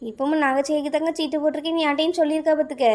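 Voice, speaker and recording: Woman acting a cartoon village busybody, high voice, carping and spiteful, southern Tamil Nadu accent. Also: fairly even intonation, rapid-fire, a little mellow, lightly acted. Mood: spiteful gossip. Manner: gossiping, carping. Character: gossipy, spiteful village woman, nosy neighbour.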